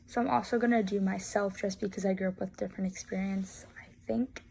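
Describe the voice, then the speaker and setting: A woman's voice: mid-range voice, fairly even intonation, fairly quick, vocal fry, a little mellow, slight background noise. A nineteen-year-old woman casually explaining a class project, with lots of filler words. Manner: rambling, heavy use of "like" fillers. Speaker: student presenting a class project.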